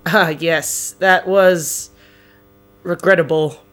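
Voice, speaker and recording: An actress playing a composed, authoritative team boss in an audio drama, polite and measured. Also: female voice, on the low side, fairly even intonation, fairly bright tone, soft background music. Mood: composed, businesslike. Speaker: voice actor in a fiction podcast. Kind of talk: scripted audio drama acting.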